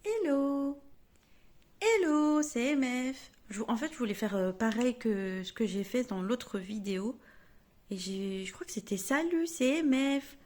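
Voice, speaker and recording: Brief: woman vlogger, slightly high voice, chatty home storytelling to camera. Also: measured pace. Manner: chatty, self-mocking, lively quoted voices.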